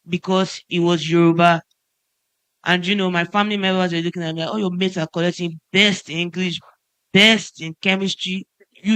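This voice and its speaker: A woman's voice: on the low side, a bit bright, smooth, no room sound. A woman from Nigeria, a musician on a conference panel, speaking passionately and with emphasis. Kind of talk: panel discussion remarks. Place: conference stage.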